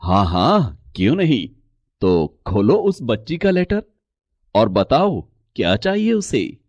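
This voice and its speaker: A man playing a genial, agreeable husband and father in a cartoon story, easygoing and deliberate. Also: medium pitch, sing-song, a little mellow, no echo, lo-fi sound. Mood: genial, curious. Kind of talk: Hindi cartoon moral story acting.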